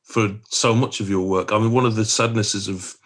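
A man's voice: on the low side, fairly even intonation, moderate pace. A man hosting a podcast interview, asking long winding questions with enthusiasm and laughter.

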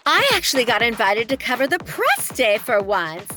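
An actress playing a snide, sarcastic theater reporter in a played clip. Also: female voice, fairly high pitch, very animated, normal speed, loud music behind the voice. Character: snarky female theater reporter.

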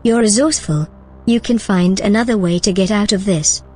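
An actress plays a calm female machine mind in an audio drama. Her middle-pitched voice stays composed and matter-of-fact, with faint music behind it. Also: moderate pace, husky, lightly acted. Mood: calm, reasoning.

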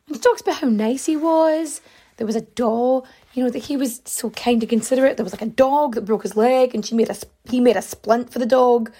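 A woman host telling a story casually, full of fillers, with swings in pitch and a voice she says is going. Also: fairly quick, warm tone, husky. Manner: chatty, many fillers, big pitch swings. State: losing her voice.

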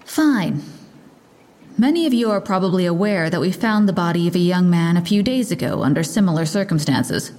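An actress playing a brisk, sardonic police lieutenant giving a guarded press briefing in an audio drama. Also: female voice, lowish voice, lively intonation, darkish tone. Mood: guarded, curt.

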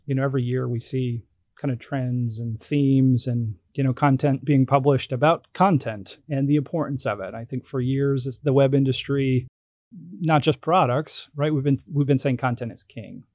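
A man's voice: mid-range voice, a little mellow, no room sound, studio quality. A man hosting a podcast interview, talking casually with many "you know" fillers. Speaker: guest host.

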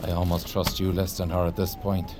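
A man playing a gruff, distrustful police officer in an audio drama. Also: low voice, level tone, a little fast. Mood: stern, suspicious. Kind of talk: immersive audio drama acting. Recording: noisy car ambience.

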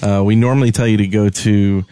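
Lowish mellow guy chatting on a gaming podcast, super dry close sound. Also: steady tone, fairly quick, clear, resonant tone, no echo. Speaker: podcast co-host. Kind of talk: casual gaming podcast chat.

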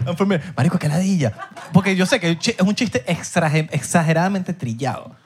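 A man's voice: high-pitched, fairly quick. A young Venezuelan man with a Venezuelan accent, hosting a live podcast and trading jokes and slang while laughing between lines. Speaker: podcast host. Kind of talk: live podcast banter before an audience. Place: live show venue.

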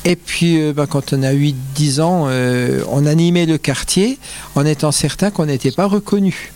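An older man from eastern France speaks as an interview guest. His voice sits a little high for a man. He explains and reminisces conversationally, pausing to think as he goes. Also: darkish tone. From France, Vosges. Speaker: interview guest, carnival founder.

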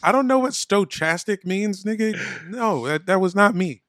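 Higher-pitched man riffing as podcast co-host. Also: animated, normal speed, a bit bright. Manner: playful, riffing. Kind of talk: casual comedic banter.

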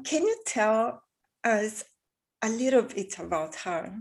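A woman's voice: a little high, lively intonation, slow pace. A woman hosting an interview, asking careful questions with some hesitations. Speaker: interviewer host.

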